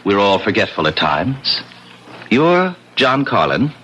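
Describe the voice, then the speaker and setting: An actor playing a smooth-talking, quietly menacing salesman with a glib, over-familiar manner in a 1970s radio drama. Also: lowish voice, very animated, slow, slight background noise, exaggerated voice. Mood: slick, persuasive.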